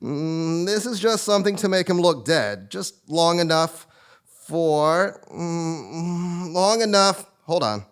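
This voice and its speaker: A man playing an eccentric, melodramatic fortune-telling sage in a comic play on stage, with drawn-out pondering and sudden cries. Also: fairly high pitch, animated, smooth. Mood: mystical pondering. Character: eccentric, theatrical self-styled male sage and fortune teller. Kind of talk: comic stage acting before a live audience. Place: theatre stage, live performance.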